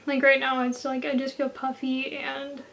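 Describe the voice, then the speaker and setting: A woman with a hoarse, slightly nasal voice, chatting through a makeup tutorial for her viewers. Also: sits high, completely flat delivery, moderate pace, a bit bright. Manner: chatty, talks straight to viewers. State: hoarse from a cold. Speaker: beauty vlogger.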